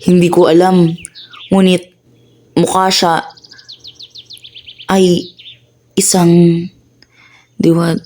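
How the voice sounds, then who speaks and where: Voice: medium pitch, drawn-out words, pauses often, pitched above the performer's own voice. A student narrator voicing an eager young male companion in a radio play. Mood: excited. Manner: excited, pointing things out.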